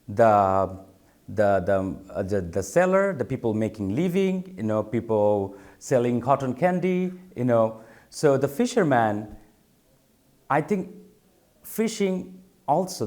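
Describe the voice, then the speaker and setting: A man's voice: mid-range voice, darkish tone. A man from Bangladesh, a photographer talking thoughtfully in an interview, often stopping to repeat words as he searches for them. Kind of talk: reflective interview talk.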